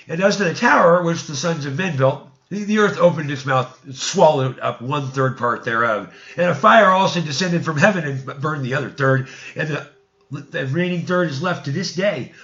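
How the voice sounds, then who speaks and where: A man's voice: a little high, gravelly, fairly bright tone. Dull-sounding recording of a man reading scripture. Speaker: narrator reading scripture aloud. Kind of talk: read-aloud narrative of an ancient religious text.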